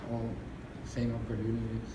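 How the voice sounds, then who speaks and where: A young adult man speaking haltingly, searching for words in a reflective group conversation. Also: mid-range voice, completely flat delivery. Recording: echoey room with background noise.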